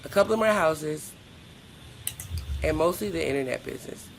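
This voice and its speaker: A woman's voice: low voice, lively intonation, normal speed, creaky, crowd noise, rough recording. A middle-aged woman talking candidly as a podcast guest in a blunt, emphatic, colloquial way. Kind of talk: candid personal interview.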